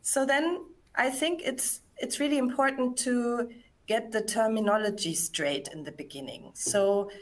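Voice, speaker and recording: Woman, English speaker, moderator, measured, friendly. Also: fairly high pitch. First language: not English. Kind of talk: hosting a live talk.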